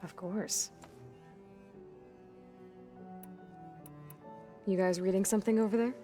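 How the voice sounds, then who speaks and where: An actress playing a guarded, determined young heroine in a video game, speaking in a dry, understated, weary way. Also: female voice, medium pitch, steady tone, fast, warm tone, faint music behind, close to an everyday voice. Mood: casual, reserved. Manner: understated, terse, reserved. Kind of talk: naturalistic video game cutscene acting.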